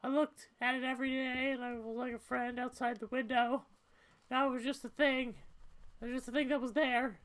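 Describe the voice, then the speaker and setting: A troubled young cat girl from a story game, played by a woman, with a high voice. She talks plainly and hesitantly, weary and confessional. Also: flat delivery, fairly quick, natural voice.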